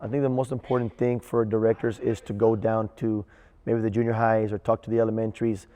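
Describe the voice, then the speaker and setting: A man speaking as a theater director in an interview, earnest and halting, often repeating words as he thinks. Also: on the low side, little pitch movement, warm tone, in a large hall. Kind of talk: interview reflection.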